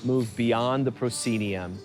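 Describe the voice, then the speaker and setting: A man's voice: medium pitch, slow. A man speaking about his dance program in an earnest, reflective interview. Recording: clear music underneath.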